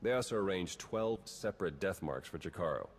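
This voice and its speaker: An actor playing a wry, terse, roguish spy in a video game, dry and matter-of-fact. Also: male voice, deep voice, lively intonation, a little fast, slight background noise. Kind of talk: cinematic video game acting.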